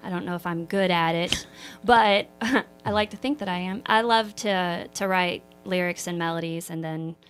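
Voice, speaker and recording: A woman singer-songwriter with a slightly hoarse voice, talking modestly in a radio interview. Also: lowish voice, moderate pace, hardly any pauses, fairly bright tone, faint music behind, poor audio. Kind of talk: casual radio interview chat.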